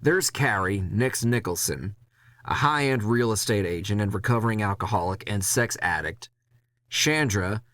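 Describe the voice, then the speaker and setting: A man's voice: fairly low pitch, a bit bright. A man narrating a scripted first-person audio drama in a wry, gritty, world-weary storytelling style.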